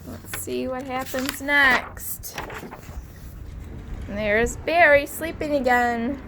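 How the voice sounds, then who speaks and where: A woman teacher reads a picture book to children in a fairly high voice at an unhurried pace. She is expressive and breaks off to prompt with questions. There is faint noise behind her. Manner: expressive, drawn-out emphasis, prompting listeners with questions. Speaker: teacher reading aloud to class. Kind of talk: animated storybook read-aloud with questions to children. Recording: light background noise.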